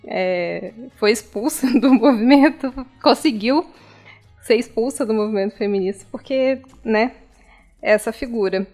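A woman's voice: fairly high pitch, measured pace, darkish tone, soft background music. A Brazilian woman co-hosting a podcast, making casual, wry commentary in an informal conversational way. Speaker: podcast co-host.

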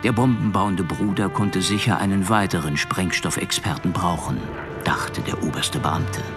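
A man narrating a scripted audio drama, dry and deliberate, with dramatic pauses. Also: low-pitched, music in the background.

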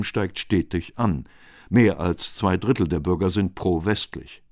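A man reading scripted documentary narration in a measured, even way. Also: sits low, no echo, clean studio sound.

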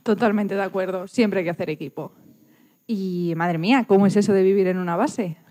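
A woman's voice: mid-range voice, a little fast, mellow. A woman from conducting a casual radio interview in an informal, encouraging way.

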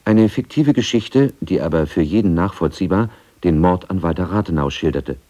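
Deep, dark-voiced male documentary narrator. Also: expressive, moderate pace. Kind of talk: scripted narration.

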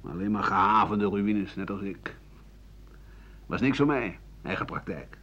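A man's voice: lowish voice, unhurried, a bit bright. An actor in a radio play playing an embittered, world-weary old doctor and writer who grumbles disdainfully as he looks back. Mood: weary, grumbling. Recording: dull 1980 radio recording.

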